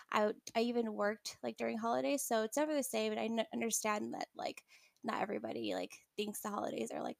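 A young-sounding woman with a slightly high voice and a gravelly fry runs a laid-back podcast chat. She rambles easily and leans on fillers like "like" and "um." Her sound is close and dry. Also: no room sound. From California. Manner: relaxed, rambling with many fillers. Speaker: podcast host. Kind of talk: casual chat.

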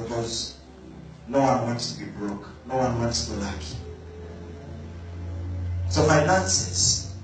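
A man preaching a sermon, slow and emphatic, repeating key words for stress. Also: medium pitch, darkish tone, far from the mic. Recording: very echoey room, voice from afar, faint music.

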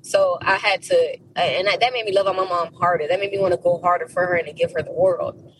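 An American woman from Miami speaking as a podcast guest in a casual interview, telling stories in an animated, emphatic, slangy way. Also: on the low side, brisk, a little hiss or hum, poor audio. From Miami, United States.

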